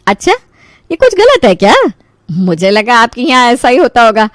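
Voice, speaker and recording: A woman voicing a sly, mock-innocent middle-aged mother-in-law in an animated family story, asking pointed questions. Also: on the high side, normal speed, dry recording, studio quality. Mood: feigned innocence. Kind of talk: Hindi animated story dialogue.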